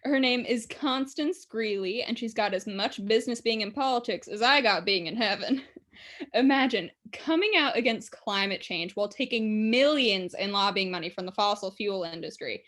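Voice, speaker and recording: A woman's voice: medium pitch, moderate pace, a bit bright, close to an everyday voice. A woman playing a sardonic, gloating demon who delivers a theatrical monologue in a conversational, mocking way. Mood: mocking, smug. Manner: sardonic, gloating, conversational, given to chuckling asides. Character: gleeful, mocking female demon, a scheming devil monologist. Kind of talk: theatrical monologue addressed to the audience.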